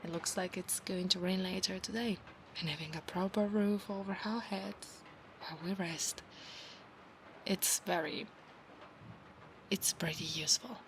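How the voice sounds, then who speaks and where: A woman with an Italian accent playing a proud, obsessive female centaur knight in a soft-spoken, formal ASMR roleplay, often dropping into breathy whispers. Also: lowish voice, steady tone, a little slow, pauses often, poor audio. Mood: calm, proud. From Italy. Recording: clear background noise, ambience.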